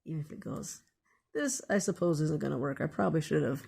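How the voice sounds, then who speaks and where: A woman's voice: deep voice, fairly quick, creaky, mellow, husky. A woman hosting a casual hands-on DIY tutorial, thinking aloud in an unscripted way as she works. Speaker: DIY video host.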